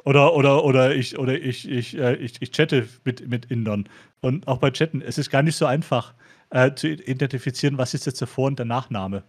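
A man in a relaxed, informal conversation as a podcast co-host, with a mid-pitched voice. He starts phrases over and repeats small words while he looks for the right one. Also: brisk. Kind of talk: casual chat.

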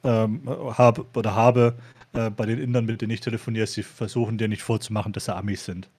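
Man chatting casually, haltingly. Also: fairly low pitch, steady tone, darkish tone, slightly rough. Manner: halting, repeats words as he searches for phrasing. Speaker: podcast co-host. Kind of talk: casual chat.

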